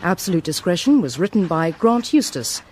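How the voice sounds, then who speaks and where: Female continuity announcer, formal and precise, mid pitch with animated intonation, slight background noise. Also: moderate pace. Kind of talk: scripted cast and credits announcement.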